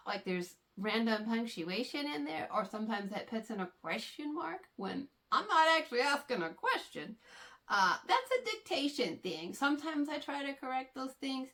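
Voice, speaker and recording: A woman's voice: medium pitch, fairly bright tone. A woman knitting vlogger talking casually to her viewers, explaining things patiently with frequent fillers and a slightly congested sound. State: somewhat congested from allergies. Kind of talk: casual talk to camera.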